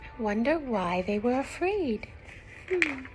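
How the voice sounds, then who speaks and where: A woman reading a children's storybook aloud expressively, pausing to explain simply and ask young listeners questions. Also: high-pitched, big pitch swings, music in the background, poor audio.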